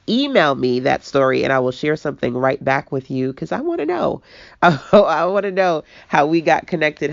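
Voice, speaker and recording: A woman from Brooklyn with a deep, raspy voice, giving a chatty motivational talk as a business coach, laughing and quoting people as she goes. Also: no echo. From Brooklyn, New York. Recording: dull lo-fi dry recording.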